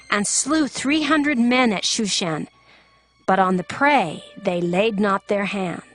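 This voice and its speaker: A woman narrates scripture as formal drama, in a solemn storytelling manner. Her voice sits at a medium pitch, with music playing beneath it. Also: fairly bright tone. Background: background music.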